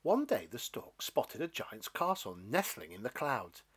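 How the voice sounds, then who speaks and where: Very animated, unhurried male storybook reader. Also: mid-range voice, breathy edge. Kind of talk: expressive children's story narration.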